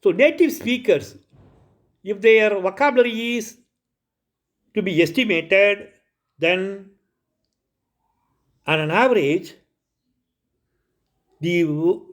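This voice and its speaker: A man from India with a Tamil-flavoured Indian English accent lectures on a recorded lesson. His voice sits high for a man. He explains patiently and circles back, repeating and correcting himself as he goes. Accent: Indian English, Tamil Nadu. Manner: repetitive, self-correcting, explanatory.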